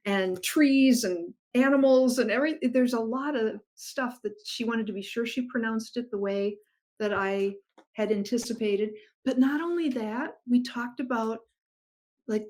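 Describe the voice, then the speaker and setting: A middle-aged woman author chatting enthusiastically over a video call about her writing. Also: fairly high pitch. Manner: enthusiastic, animated storyteller. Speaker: guest author. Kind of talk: casual interview chat.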